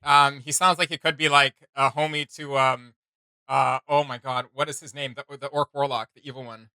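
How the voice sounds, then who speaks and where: Bright-voiced man hosting casual reactions. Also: mid-range voice, little pitch movement, normal speed, clear, resonant tone, no echo. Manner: conversational, rambling. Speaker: reaction video host.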